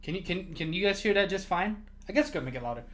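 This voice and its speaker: A man who did not grow up speaking English chats on his livestream, ranting and laughing as he goes. His pitch swings widely from phrase to phrase, and he swears freely. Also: expressive, racing, fairly bright tone. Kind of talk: casual livestream chat.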